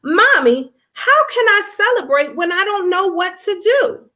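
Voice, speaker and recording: A woman voicing a sensitive, earnest young schoolgirl in an expressive storybook reading. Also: very high voice, a little slow, voice pushed up, exaggerated voice. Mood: upset, confused.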